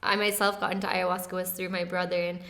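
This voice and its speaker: Young woman guest, flat even delivery, soft-spoken and easygoing, casual interview talk. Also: on the low side, fast talker. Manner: soft-spoken, relaxed, often laughing lightly, many "like" fillers.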